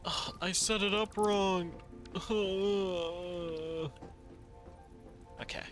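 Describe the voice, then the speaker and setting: A man's voice: high voice, steady tone, extremely slow, faint music behind. A man streaming a factory-building game live, thinking aloud with playful asides to himself. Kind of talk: live gameplay commentary.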